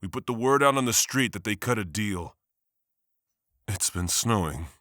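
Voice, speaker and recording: A man's voice: fairly low pitch, lively intonation, moderate pace, fairly bright tone, no echo, studio quality. An actor playing a hard-bitten, cynical lawman, blunt and wry, in a scripted motion comic.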